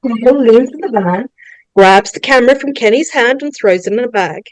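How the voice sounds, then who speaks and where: A woman's voice: on the high side, normal speed, a little mellow, dry recording, poor audio. A woman narrating a script, reading screenplay stage directions aloud. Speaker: narrator of a staged table read.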